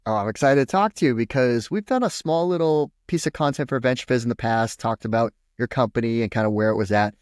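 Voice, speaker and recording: A man's voice: mid-range voice, quick pace, few pauses, a little mellow, dry recording, clean studio sound. A man speaking as a podcast host, interviewing a guest in a conversational style.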